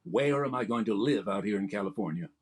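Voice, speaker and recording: An actor playing a formal, smooth-talking male radio showman hosting a program. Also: lowish voice, animated, brisk. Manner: smooth formal announcer delivery. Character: grand, resonant male radio showman host. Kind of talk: old-time radio comedy recreation.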